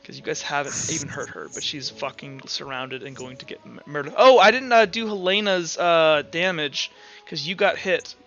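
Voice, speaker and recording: A man with a fairly high, bright voice acts as game master in a casual tabletop roleplay session. He is blunt and swears freely. Faint music plays behind him. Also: lively intonation, normal speed, dull audio.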